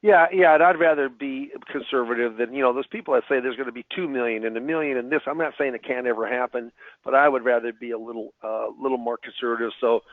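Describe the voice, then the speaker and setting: A man who works as a financial advisor, speaking as a webinar guest, answering questions with a halting delivery full of repeated words. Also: medium pitch, dry recording. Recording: tinny phone line.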